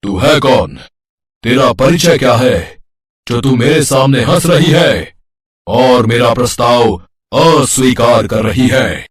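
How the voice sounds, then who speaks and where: A man voicing an arrogant, menacing demon king in a mythological cartoon story, boastful and theatrical. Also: animated. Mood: angry. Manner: boastful, theatrical, drawn-out delivery with booming threats.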